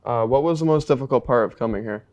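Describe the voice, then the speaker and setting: A man's voice: mid-range voice, fast, a little mellow. A teenage boy interviewing a classmate for a school project, reading his questions with frequent "uh.". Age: teens. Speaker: student interviewer.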